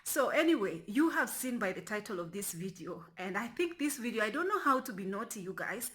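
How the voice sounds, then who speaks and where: A woman's voice: mid-range voice, sing-song, brisk, fairly bright tone. A young adult woman from Kenya chatting casually to her camera in a rambling vlog, often restarting her sentences and dropping to a near whisper. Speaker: vlogger.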